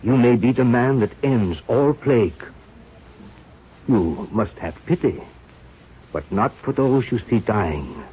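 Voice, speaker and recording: An actor playing an aging, earnest scientist mentor with a halting, solemn delivery in a radio drama. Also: male voice, mid-range voice, expressive, husky. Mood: solemn, fatherly. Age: older. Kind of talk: 1930s radio drama acting. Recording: muffled, noisy old radio recording.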